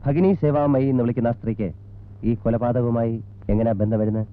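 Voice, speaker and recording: An actor playing a persistent, businesslike police investigator in a film drama, putting clipped, probing questions in a Malayali accent. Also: male voice, mid-range voice, fairly even intonation, fairly quick, natural voice. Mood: stern, insistent. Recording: tinny band-limited old film soundtrack.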